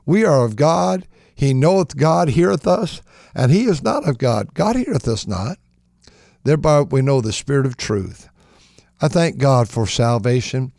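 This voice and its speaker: A man with a raspy voice preaching an impassioned sermon, stammering and restarting, then surging into shouted praise. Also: medium pitch, no echo, clean studio sound. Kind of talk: fervent radio sermon.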